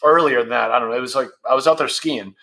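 A man's voice: medium pitch, fast talker, bright, forceful tone. A man in his fifties, a guest on a podcast, talking candidly and bluntly in casual conversation. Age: 50s. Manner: candid and blunt, swears freely. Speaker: podcast guest, founder of a supplement brand.

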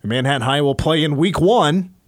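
A man hosting a sports talk radio show, chatty and rambling, his voice getting a little hoarse. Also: a little high, moderate pace, no echo, studio quality. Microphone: studio microphone.

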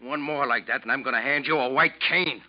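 An actor playing a gruff, scornful, scheming ship's first mate in a classic radio drama, with a blunt, bullying, sarcastic delivery. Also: male voice, medium pitch, brisk, natural voice. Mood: angry, scornful. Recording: muffled vintage radio recording.

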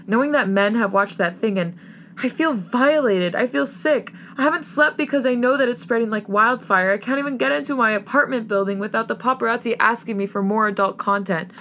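A woman's voice: medium pitch, brisk, hardly any pauses, a little hiss or hum, close to an everyday voice. A woman playing a betrayed young girlfriend in an audio story, bitter, sarcastic and ranting through heartbreak. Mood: hurt, tearful. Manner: ranting, scornful, tearful.

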